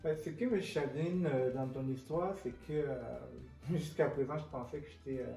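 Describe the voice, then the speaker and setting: Female interviewer, dark soft-edged tone, conversational and hesitant, distant from the mic with music underneath. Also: very deep voice, moderate pace, echoey room. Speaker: interviewer, host.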